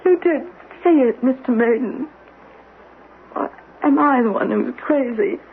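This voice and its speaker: A woman's voice: high voice, lively intonation, measured pace, vocal fry, full character voice. An actress playing a sceptical, anxious niece of an old man, formal and emotive in a radio drama. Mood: shocked. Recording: narrow, noisy old radio broadcast.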